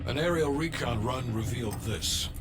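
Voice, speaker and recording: An actor playing a guarded, unrepentant CIA official in a Cold War video game, speaking in a cold, measured, defensive way. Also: male voice, medium pitch, loud music behind the voice, close to an everyday voice. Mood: matter-of-fact. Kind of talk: video game cinematic acting.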